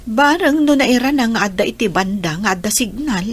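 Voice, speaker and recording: A woman's voice: on the high side, expressive, moderate pace, heavy background noise. A woman acting an anxious, emotional young lover in a radio drama, with an Ilocano accent. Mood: worried. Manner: emotional, plaintive. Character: Lilia, anxious, heartbroken young pregnant woman, betrayed lover.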